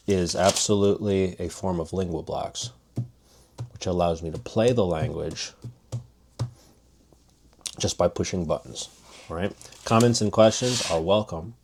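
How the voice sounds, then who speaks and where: Casting: man with a low voice, teacher type, chatty and demonstrative, lots of restarts. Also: a little mellow, a little hiss or hum. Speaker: language teacher. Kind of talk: casual spoken lesson.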